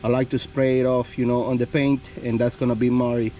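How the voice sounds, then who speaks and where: A man's voice: mid-range voice, flat delivery, brisk, dark tone. A man hosting a car detailing vlog in casual chat, rambling with lots of "you know" and "um", also interviewing a guest. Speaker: car detailer and channel host.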